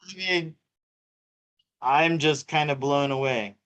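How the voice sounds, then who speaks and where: A middle-aged man co-hosting a live tarot show in casual chat, halting and repeating himself with many fillers. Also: on the high side, fairly bright tone, smooth, highs cut off. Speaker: co-host reading tarot cards.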